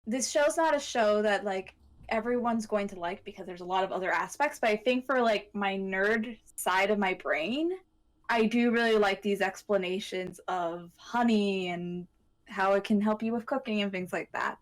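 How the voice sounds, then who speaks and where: A woman co-hosting a podcast, explaining her thoughts haltingly in casual discussion. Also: mid-range voice. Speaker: podcast co-host.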